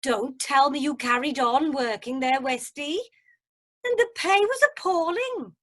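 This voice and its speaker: A woman's voice: high voice, measured pace. A woman playing a chatty, nosy cruise passenger who loves gossip, in an amateur radio drama. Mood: eager, nosy. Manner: gossipy, chirpy.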